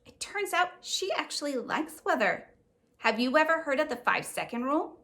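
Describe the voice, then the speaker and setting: A woman's voice: sits high, sing-song, moderate pace, sharp tone. A woman hosting a children's read-aloud, narrating and explaining things simply in a teacherly way.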